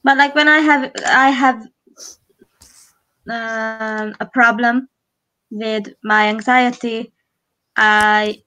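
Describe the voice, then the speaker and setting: A woman's voice: on the high side, steady tone, extremely slow, long pauses, bright, forceful tone, rough recording. A woman with a Hungarian accent, a live stream co-host chatting casually while doing makeup, rambling with frequent restarts.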